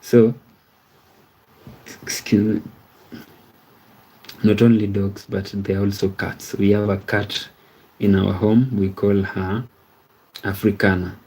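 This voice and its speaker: A man's voice: on the low side, flat delivery, takes it slowly, long pauses, dark tone, husky, poor audio. A man in his thirties hosting a casual livestream, rambling and repeating himself as he talks to viewers and asks "you see?". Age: 30s. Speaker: livestream host. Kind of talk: casual livestream chat reading viewer comments.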